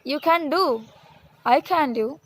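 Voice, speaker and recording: A woman, Hindi her native tongue, talks in a high, unhurried voice. Her English is halting and full of restarts, but she keeps repeating her encouragement with earnest conviction. A rooster crows somewhere behind her. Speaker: vlogger. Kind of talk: motivational monologue, practicing English.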